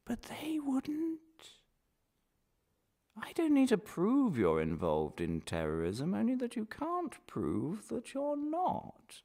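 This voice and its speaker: Man playing insinuating interrogator, swinging pitch and whispers. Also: full character voice. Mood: coaxing menace. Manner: insinuating, falsely friendly, halting, dropping into whispers. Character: smooth menacing male official interrogating a detainee. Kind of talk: one-man radio drama monologue.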